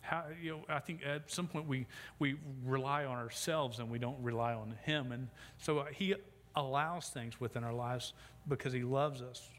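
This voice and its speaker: A man's voice: medium pitch, steady tone, moderate pace, a bit bright. Middle-aged male pastor with a stutter, preaching into a church mic, long hall echo. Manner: stutters, with repeated sounds and restarts. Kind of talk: sermon. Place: church sanctuary. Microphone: sound system microphone. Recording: long hall reverb, faint background noise.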